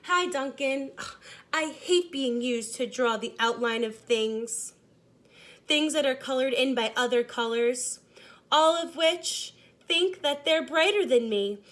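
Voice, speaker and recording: A woman's voice: high voice, slow pace, a bit bright. A woman voicing a resentful, underappreciated storybook crayon in a grumbling manner.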